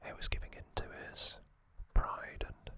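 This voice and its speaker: A man whispering a calm trivia recap for an ASMR video close to the microphone, with soft ums and casual asides. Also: moderate pace, no echo. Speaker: ASMR channel host.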